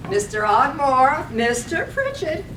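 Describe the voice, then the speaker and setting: A woman acts out a prim widowed landlady in a radio play, fussy and commanding. Her voice sits fairly high and moves slowly and deliberately. It is picked up from a distance in an echoey room. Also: sing-song, a bit bright, slight background noise, full character voice. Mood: bossy, prim. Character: bossy, prim twice-widowed landlady giving orders to ghostly husbands. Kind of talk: staged reading of a radio play.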